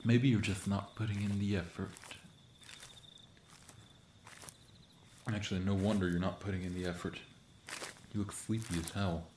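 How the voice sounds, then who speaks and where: A low male voice , a man acting as a playful prankster monster of the woods in an ASMR roleplay. He talks casually and boastfully, teasing the listener like a friend. The sound is roomy, with clear noise behind it. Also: fairly even intonation, brisk, darkish tone, close to an everyday voice. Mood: teasing, gentle. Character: playful prankster forest cryptid, friendly, mischievous, clawed monster.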